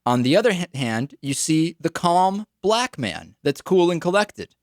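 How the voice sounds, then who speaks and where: A man's voice: fairly high pitch, big pitch swings, a little slow, no room sound, clean studio sound. A man with an American accent giving political commentary as a radio talk show host.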